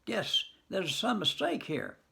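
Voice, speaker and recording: Man playing old farmer, grieving, bewildered, high quavering wail, lively lilt. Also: takes it slowly, creaky, heavily acted.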